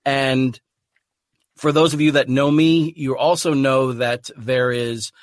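A man's voice: mid-range voice, fairly even intonation, slow pace, no room sound. A man hosting his podcast, talking earnestly and rambling a bit, with restarts and easy laughter. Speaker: podcast host. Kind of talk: casual podcast chat.

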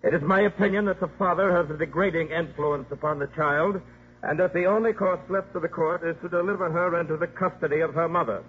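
An actor playing a stern, pressing courtroom lawyer with a husky, rough voice and formal delivery in 1950s radio style. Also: male voice, on the high side, fast talker, slight background noise, close to an everyday voice. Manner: formal, insistent. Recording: thin, phone-like old radio recording.

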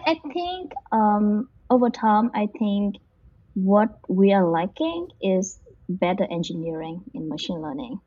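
A woman's voice: medium pitch, mellow, breathy edge, no echo. A woman from Vietnam, an interview guest working in machine learning, answering casually and hesitantly, with many fillers and restarts. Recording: dull muffled lo-fi audio.

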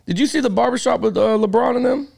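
High-pitched gravelly man hosting. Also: quick pace, darkish tone. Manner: loose, laughing banter. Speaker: podcast host. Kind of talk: casual sports talk.

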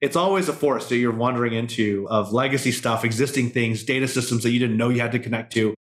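A man's voice: mid-range voice, quick pace, echoey room. A man hosting a podcast interview, musing at length in a casual, discursive way with lots of fillers. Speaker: podcast host.

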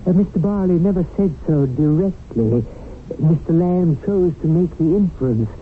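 An actor in a 1970s radio drama playing a harried, loyal guardian angel with an apologetic, folksy manner. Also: male voice, fairly high pitch, expressive, normal speed, a little hiss or hum, close to an everyday voice. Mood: defensive. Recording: tinny old radio, music behind.

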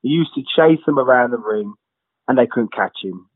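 A man's voice: medium pitch, expressive, moderate pace, no echo. Man with a thin phone-like sound. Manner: conversational with restarts and asides. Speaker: co-presenter. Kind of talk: casual documentary commentary. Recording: phone-like dry audio.

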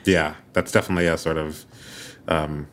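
A man's voice: a bass voice, little pitch movement, moderate pace, a bit bright. A man hosting a radio program, moving between narration and conversation. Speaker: radio host.

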